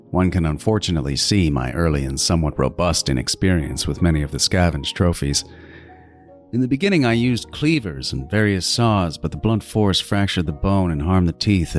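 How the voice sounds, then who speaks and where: A man's voice: sits low, lively intonation, normal speed, faint music behind. An actor playing a meticulous, self-styled artist serial killer in a horror audio drama, savoring his words theatrically with swooping emphasis. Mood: proud, reflective. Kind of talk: first-person horror audio drama monologue.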